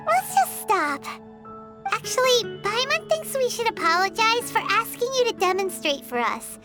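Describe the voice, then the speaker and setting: A woman voicing a small, excitable, fretful fairy companion with a childlike, squeaky voice in a video game. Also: normal speed, over loud music. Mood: apologetic. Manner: refers to self in third person, fretful.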